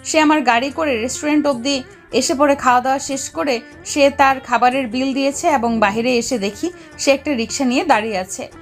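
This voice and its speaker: A woman's voice: high voice, fairly quick, pitched above the performer's own voice. A woman voicing an angry, domineering young female boss in an audio story, scolding and giving orders. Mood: resentful. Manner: scolding, commanding. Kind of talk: Bengali audio story character dialogue. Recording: music under the voice.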